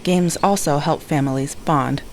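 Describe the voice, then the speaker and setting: A woman playing a composed, motherly mentor in an audio drama, with formal, measured and precise speech. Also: sits low, darkish tone, slight background noise.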